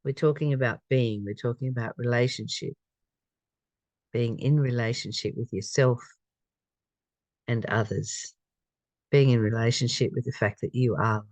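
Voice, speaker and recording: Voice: mid-range voice, fairly even intonation, moderate pace, long pauses, darkish tone, no echo. Reflective spiritual reader with a close, dry sound. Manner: reflective, conversational, repeats phrases and poses questions. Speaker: spiritual study reader and commentator. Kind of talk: reading aloud with informal reflective commentary.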